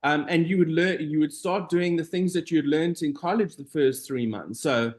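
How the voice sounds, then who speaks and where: A middle-aged man from South Africa, a nurse being interviewed, talking in a relaxed, meandering way with frequent pauses. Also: a little high. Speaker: guest, operating room nurse. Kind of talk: casual interview conversation.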